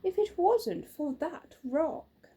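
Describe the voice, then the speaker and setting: Woman acting out a fretful housewife, high and plaintive. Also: lively intonation, slow, warm tone. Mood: complaining. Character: fretful, complaining housewife, prone to tearful wailing.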